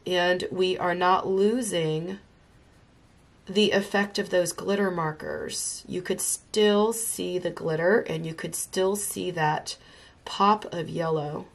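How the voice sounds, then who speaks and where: A woman's voice: fairly low pitch, steady tone, takes it slowly. Congested woman narrating a coloring tutorial. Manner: enthusiastic, chatty, talks while drawing. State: congested, recovering from illness. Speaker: art tutorial host. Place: home craft desk.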